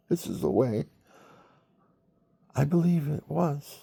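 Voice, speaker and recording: Older man, gravelly husky voice, slow unhurried monologue, lively swings in pitch, chatty to viewers. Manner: chatty, talks to the viewers, acts out quoted voices. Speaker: storyteller. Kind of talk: rambling personal monologue.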